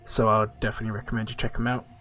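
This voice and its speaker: A man with a blocked nose and a nasal voice, chatting casually on a gaming livestream and answering viewers' questions in a rambling, relaxed way. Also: lowish voice, very fast, soft background music. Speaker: streamer.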